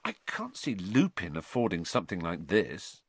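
A man's voice: sits low, huge pitch swings, moderate pace, slightly rough, no room sound, heavily acted. A man voicing a curious, good-humoured teenage schoolboy in a storybook, in a plain conversational manner. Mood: quiet disbelief.

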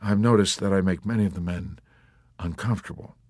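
Man playing timid, soft-spoken military chaplain. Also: sits low, a little fast, no echo, clean studio sound. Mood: shy. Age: 30s. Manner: mumbling, soft, hesitant. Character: shy, timid, apologetic military chaplain in his thirties.

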